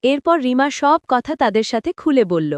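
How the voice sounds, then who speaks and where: Woman narrating a cartoon tale, pretty high voice, dry studio-like sound. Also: sing-song, normal speed, dry recording. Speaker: story narrator. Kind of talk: scripted cartoon story narration.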